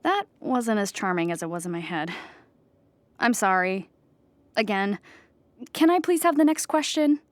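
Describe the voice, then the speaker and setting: A woman's voice: medium pitch, expressive, a little slow, long pauses, no room sound, lightly acted. A woman playing an earnest, lonely, depressed clown pleading before judges in an audio drama, rambling and childlike as she justifies herself. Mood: deflated, apologetic.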